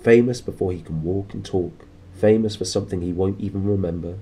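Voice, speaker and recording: A man voicing a calm old male wizard in a storybook, firm, measured and serious. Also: sits low.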